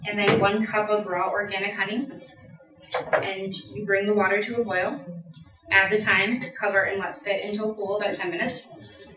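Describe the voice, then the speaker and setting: A woman's voice: mid-range voice, flat delivery, moderate pace, distant mic, noisy background. A young woman talks a class through a simple recipe, plainly and practically. She is heard from across an echoey lecture room. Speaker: student presenter. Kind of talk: reading a class presentation. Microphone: far camera.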